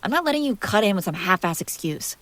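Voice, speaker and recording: A woman playing a jealous, hurt young woman confronting her crush in an intimate audio roleplay, halting, sarcastic and emotionally raw. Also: mid-range voice, very animated, fairly bright tone, no echo. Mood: bitter, accusing.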